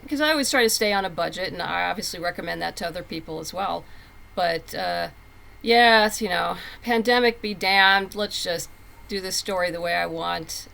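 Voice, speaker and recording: A woman's voice: fairly low pitch, gravelly, bright, forceful tone, low-quality recording. A middle-aged woman talking casually as an interview guest, chatty and candid with emphatic phrasing. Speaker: podcast guest, comic book writer.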